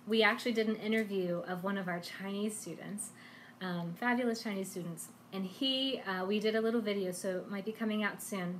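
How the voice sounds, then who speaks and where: A woman's voice: medium pitch, slight background noise. A woman hosting a language lesson live stream, talking in a casual, chatty and encouraging way.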